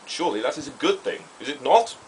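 A man's voice: medium pitch, very animated, fast, slight background noise, close to an everyday voice, rough recording. A man playing an earnest, old-fashioned TV correspondent, stiffly polite and melodramatic, in a mock vintage documentary. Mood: probing.

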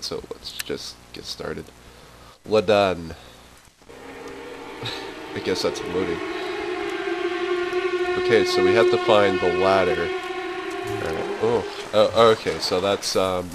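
A man's voice: fairly low pitch, a bit bright, slight background noise, poor audio. A young man hosting a gaming video, commenting nervously as he plays, swearing and laughing nervously, yelping when startled. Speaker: gaming channel host. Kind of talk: let's play commentary.

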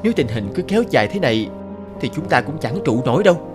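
A man voicing villagers in an animated folk tale, speaking in a theatrical, emphatic way. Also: medium pitch, quick pace, music in the background, close to an everyday voice. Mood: reproachful. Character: villagers, elder and rain god in a drought-struck village.

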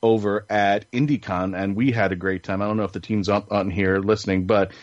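A man's voice: on the low side, fairly even intonation, brisk, no echo. A man hosting a casual panel podcast, chatty and rambling, with enthusiasm. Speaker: podcast host. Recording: dull lo-fi sound.

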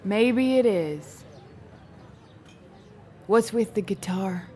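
A woman's voice: medium pitch, animated, very slow, dark tone. An actress playing a lonely, guarded young small-town woman in an audio play, with terse, sardonic retorts. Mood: dry, challenging. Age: young adult. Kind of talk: audio play acting before a live audience.

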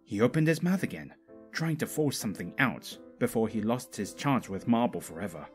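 A man's voice: mid-range voice, sing-song, moderate pace, faint music behind. A man reading a story aloud as a scripted narrator. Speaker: fanfiction narrator.

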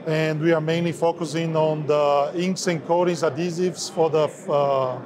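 A man in his forties speaking haltingly with many fillers, interviewed at a trade fair on a lapel mic. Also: a little high, steady tone. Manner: halting, many filler sounds, slightly non-native phrasing. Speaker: sales head of an ink and coatings firm. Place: busy trade fair hall, indoors. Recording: crowd noise of a trade fair. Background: crowd chatter.

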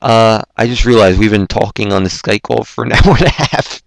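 A young man hosting a casual online show, rambling and self-deprecating, with lots of stumbling restarts and a throat that is going hoarse. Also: fairly low pitch, a little fast, no echo. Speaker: podcast host. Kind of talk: casual chat on a Skype call.

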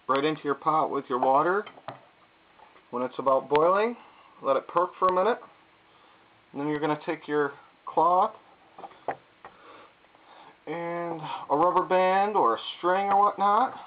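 Man with tinny lo-fi camera audio. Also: fairly high pitch. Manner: plain, unhurried, frequent "uh" and "um" pauses. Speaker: YouTube vlogger. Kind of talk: casual gear demonstration. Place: indoors at a wooden table. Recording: tinny lo-fi audio with faint hiss.

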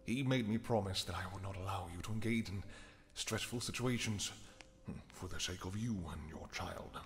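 A man's voice: deep voice, normal speed, voice pushed down. A man voicing a deferential male royal servant who speaks politely and formally in an audio roleplay. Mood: soothing. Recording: long reverb, faint hiss.

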